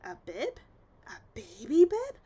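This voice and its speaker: A woman's voice: sits high, huge pitch swings, takes it slowly, warm tone, pitched above the performer's own voice, heavily acted. A woman voicing a nervous, grumpy male wolf from a storybook, howling and complaining. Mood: indignant. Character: big bad male wolf, whiny, nervous, grumpy with toothache.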